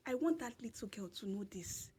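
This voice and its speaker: A woman with a Nigerian accent recounts her past in a casual interview, slightly husky and a little higher than most. She leans on repeated words for emphasis and talks with lively feeling. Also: normal speed. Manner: emphatic, repeats phrases, laughs through story. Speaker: podcast guest.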